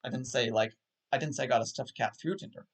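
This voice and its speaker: Halting, self-mocking young man. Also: mid-range voice. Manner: self-deprecating, halting with many restarts. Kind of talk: casual chat. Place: home setup on a livestream call.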